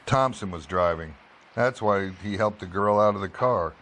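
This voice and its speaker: An actor playing a hard-boiled, world-weary investigative reporter in an old-style radio drama, clipped, wry and matter-of-fact. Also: male voice, on the low side, moderate pace, fairly bright tone, natural voice. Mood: assured. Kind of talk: old-time radio detective drama acting and narration.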